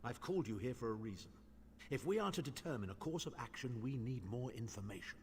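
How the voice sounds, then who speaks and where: A scornful, sardonic old imperial noble mage, voiced by a man in a fantasy game, his mid-pitched voice hanging in a long reverb. Faint ambient sound sits under his lofty lines. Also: expressive, brisk, a little hiss or hum, lightly acted. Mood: condescending. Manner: condescending, dryly sardonic. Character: haughty older male imperial noble mage. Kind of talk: game character dialogue.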